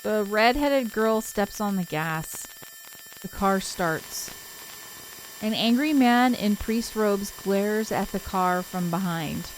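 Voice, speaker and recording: A woman's voice: medium pitch, lively intonation, slow pace, a little hiss or hum, poor audio. A woman streaming a visual novel, reading it aloud in a casual voice and chatting with informal, giggly asides. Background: game soundtrack music.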